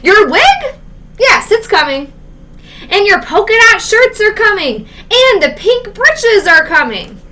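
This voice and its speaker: A woman doing a loud storybook lad, shouting, high-pitched, forceful, emphatic town-crier hollering. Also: very animated, takes it slowly, full character voice. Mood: loud, eager. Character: noisy young man bellowing like a town crier.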